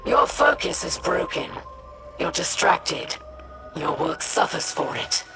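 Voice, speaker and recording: An actress playing a stern, proud alien warrior in a video game, speaking formally with gravelly, cutting deliberation. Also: female voice, on the low side, very animated, takes it slowly, heavily acted. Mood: stern, reproachful. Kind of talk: video game cutscene acting. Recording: loud music underneath.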